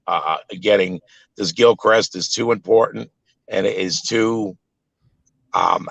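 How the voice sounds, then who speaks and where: A man in his fifties with a deep voice, a guest talking earnestly about his restoration project, with many restarts. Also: gravelly, a bit bright, no room sound. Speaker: guest, owner of a paranormal site.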